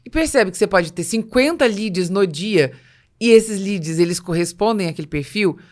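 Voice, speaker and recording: A woman's voice: medium pitch, lively intonation, moderate pace, a little mellow. A Brazilian woman hosting a business podcast, explaining points with examples and rhetorical questions. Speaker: podcast host.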